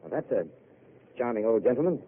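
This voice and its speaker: An actor playing a weary, self-mocking intellectual who speaks in a wry, eloquent way, heard on a tinny old radio broadcast. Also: male voice, medium pitch, very animated, fairly quick, a little hiss or hum, close to an everyday voice. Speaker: wandering failed writer. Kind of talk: 1930s radio drama acting.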